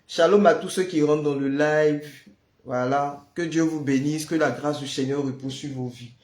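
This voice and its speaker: A man's voice: on the high side, steady tone, normal speed, a little mellow, roomy sound. A man with the flu, leading a prayer livestream and chatting casually with viewers, greeting them by name. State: has the flu. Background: noise of building work.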